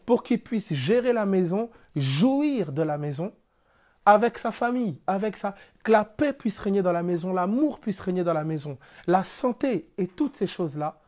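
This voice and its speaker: Mellow-voiced French preacher man. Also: high-pitched. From France. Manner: explains through everyday examples and rhetorical questions; plainspoken, casual turns of phrase. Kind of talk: spiritual teaching talk.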